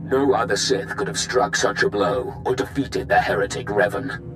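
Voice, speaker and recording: Man playing a deep, haughty dark lord. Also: animated, music in the background, close to an everyday voice, poor audio. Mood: grandly triumphant. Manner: grandiose, measured. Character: menacing, haughty Sith lord, grandiose commander. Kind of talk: video game voice acting.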